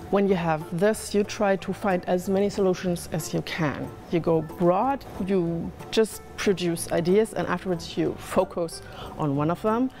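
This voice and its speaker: A woman explaining a design process step by step in an interview, calm and measured. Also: lowish voice. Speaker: summer school organizer. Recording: music playing underneath.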